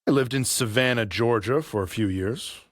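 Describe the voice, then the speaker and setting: An actor playing a polite, deferential, chatty barber in an audio play. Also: male voice, mid-range voice, normal speed, no room sound, clean studio sound, lightly acted. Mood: calm, probing. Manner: polite, deferential, repeatedly saying sir. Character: deferential, chatty barber quietly stalking his customer. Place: professional recording studio.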